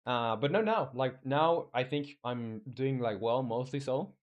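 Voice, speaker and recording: A man's voice: medium pitch, dull audio. Chilean man chatting on a dev stream. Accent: Chilean Spanish. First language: Spanish. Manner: halting, many restarts and fillers. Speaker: game developer streamer. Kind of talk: casual live-stream chat reading viewer comments.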